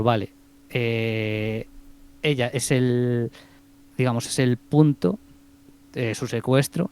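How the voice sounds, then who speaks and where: A man with a Spanish accent from Spain talks through a film review in a relaxed podcast chat. His voice is smooth and resonant, at a middle pitch. He pauses often, fills gaps and restarts his sentences as he thinks aloud. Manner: hesitant, many fillers and restarts.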